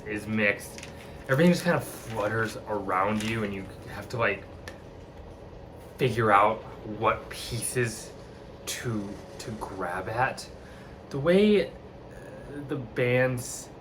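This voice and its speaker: A man's voice: on the low side, noisy background. A man reviewing a metal album, enthusiastic and searching for words with frequent restarts. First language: not Icelandic. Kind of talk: spoken music review.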